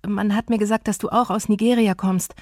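A woman's voice: medium pitch, steady tone, fairly quick, darkish tone, dry recording, studio quality, natural voice. A woman voicing a friendly, self-assured young man who talks in a polite, easygoing way.

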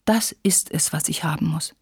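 A woman's voice: mid-range voice, lively intonation, a little slow, warm tone, dry recording, studio quality. A woman voicing a self-pitying, passionate young war widow in a literary story, plaintive and insistent in manner. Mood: pleading. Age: young adult.

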